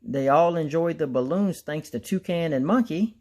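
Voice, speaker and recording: A man narrating a children's picture book aloud in an expressive storytelling style. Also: a little high, normal speed. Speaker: storybook reader.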